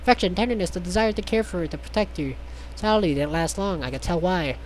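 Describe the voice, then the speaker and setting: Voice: mid-range voice, animated, fast talker, poor audio. Casual game streamer, mid-range voice, reads aloud clumsily with sarcastic asides, faint noise behind. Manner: stumbles over read text, sarcastic asides, swears. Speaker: streamer reading a visual novel aloud. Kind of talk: casual playthrough, reading game text aloud with joking asides.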